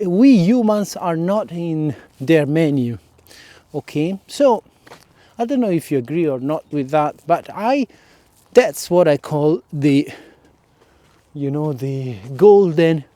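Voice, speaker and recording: A man with an Italian accent speaks in a slow, unhurried way, his voice sitting a little high for a man. His tone is warm and mellow. He talks hesitantly to his followers, filling pauses and tagging "okay?" onto his points. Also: expressive, dry recording. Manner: hesitant, many fillers and "okay?" checks. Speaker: vlogger. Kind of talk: casual solo vlog.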